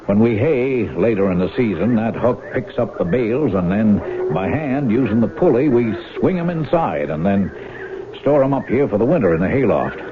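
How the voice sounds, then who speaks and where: An actor playing a menacing, obsessive older farm brother in a 1970s radio drama, folksy, insistent and brooding. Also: male voice, on the low side, lively intonation, moderate pace, background noise, lightly acted. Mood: grim, insistent. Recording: muffled 1970s radio broadcast, music behind.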